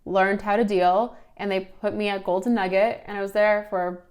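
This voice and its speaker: A woman's voice: medium pitch, fairly even intonation, normal speed, darkish tone. A young woman chats as an interview guest. Her storytelling is informal and playful, slipping into quoted bits of conversation as she goes. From Ukraine, raised in Philadelphia from age seven. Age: young adult. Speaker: interview guest, bottle service worker and model. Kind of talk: casual chat, anecdotal storytelling.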